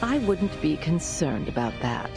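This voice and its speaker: A woman's voice: deep voice, very animated, a little slow, creaky, heavily acted. An actress playing a composed, authoritative female official in a video game, speaking formally and decisively. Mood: assured, businesslike. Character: composed, authoritative senior official, a woman in command. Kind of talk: dramatic video game voice acting. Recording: music under the voice. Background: background music.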